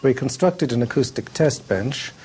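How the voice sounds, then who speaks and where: A man giving a measured, explanatory account in the style of a documentary interview. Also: mid-range voice, lively intonation, a little mellow, slight background noise.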